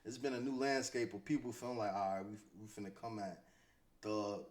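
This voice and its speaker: A man's voice: fairly low pitch, fairly quick. American man giving slangy commentary. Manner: slangy, conversational, repeats himself. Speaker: host commentating on hip-hop news. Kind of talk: casual off-the-cuff commentary.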